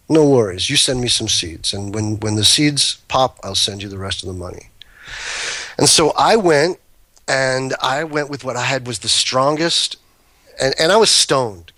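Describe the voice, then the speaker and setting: A man's voice: medium pitch, bright, forceful tone, no room sound. A man guesting on a talk show, telling long, rambling anecdotes and often breaking off mid-sentence. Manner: rambling, self-interrupting anecdotes. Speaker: guest, cannabis breeder. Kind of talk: casual storytelling chat.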